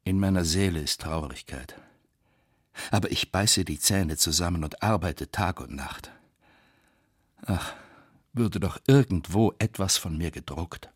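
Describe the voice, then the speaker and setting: Deep, husky, embittered writer. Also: male voice, a little slow, long pauses, no echo, studio quality. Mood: sad, weary. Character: embittered male Russian writer, melancholy and defiant. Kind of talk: dramatic reading of personal letters.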